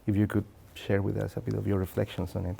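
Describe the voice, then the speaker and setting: A man asking an academic interview question in a careful, deliberate way. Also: fairly low pitch, flat delivery, gravelly, mellow, slight background noise.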